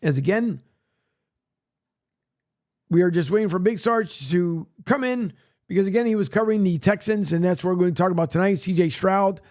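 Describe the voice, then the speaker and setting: A man hosting a sports radio show, rambling casually with many fillers, his voice raspy from a long day. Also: high voice, moderate pace, long pauses, darkish tone. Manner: rambling, many fillers, plugs and reads news aloud. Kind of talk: casual solo sports talk, filling time.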